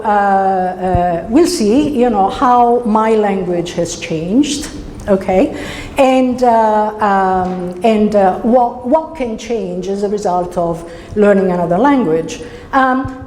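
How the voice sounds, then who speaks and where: A woman's voice: fairly high pitch, darkish tone. A middle-aged woman from Italy with an Italian accent gives a conversational academic lecture, phrasing hesitantly and checking in with her listeners. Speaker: university researcher giving a symposium lecture. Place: indoor lecture hall. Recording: roomy, reverberant hall with background noise.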